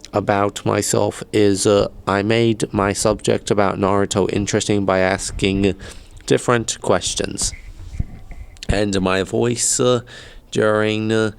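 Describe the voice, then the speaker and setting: A young man in his late teens, reading a prepared reflection hesitantly, with many pauses. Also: on the low side, gravelly, clean tone. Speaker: student leaving high school.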